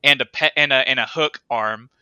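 Bright, crisp-voiced man chatting casually. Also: medium pitch, moderate pace, dry recording, dull audio. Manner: rambling, animated, laughs often. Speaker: podcast co-host. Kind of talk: casual chat.

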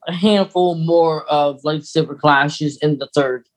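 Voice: mid-range voice, steady tone, unhurried. A podcast co-host chatting casually and informally, recapping in a conversational way. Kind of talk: casual chat.

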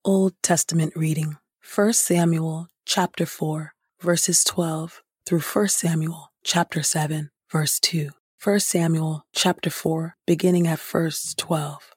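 A woman host reading scripture from a script in a measured, clearly enunciated way. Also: sits low, steady tone, no echo, studio quality. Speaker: podcast host and scripture reader.

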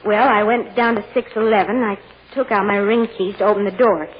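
A woman's voice: medium pitch, steady tone, brisk, lightly acted. A woman playing a plain-spoken, fussy chambermaid giving hesitant, literal-minded testimony in 1940s radio drama style. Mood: earnest, hesitant. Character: plain-spoken, fussy working-class chambermaid on the witness stand. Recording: dull, noisy old-time radio recording.

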